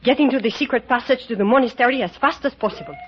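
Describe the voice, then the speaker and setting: Husky, grave, commanding señora. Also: female voice, on the high side, fast. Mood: urgent, commanding. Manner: formal, dramatic, uncontracted speech. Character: grave, commanding, mysterious Latin American woman ally. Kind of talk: old-time radio drama acting. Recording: muffled old radio recording.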